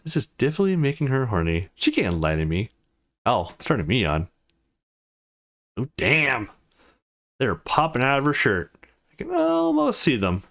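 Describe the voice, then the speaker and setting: Man reading as a brooding young man, animated pitch. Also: moderate pace, creaky, pauses often, full character voice. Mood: flustered, aroused. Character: young man, visual novel protagonist, conflicted and brooding. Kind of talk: reading visual novel inner monologue.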